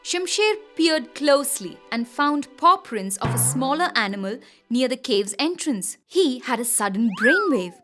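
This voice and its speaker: A woman reading scripted children's stories as an expressive, dramatic storyteller. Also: sits high, a little slow, hardly any pauses, soft background music. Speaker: storyteller, narrator.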